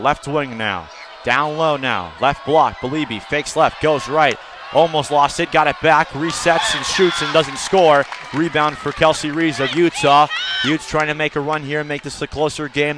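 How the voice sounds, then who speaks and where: A man doing live radio play-by-play as an announcer, lifting into excited calls on big plays. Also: on the high side, noisy background. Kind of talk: live radio sports commentary.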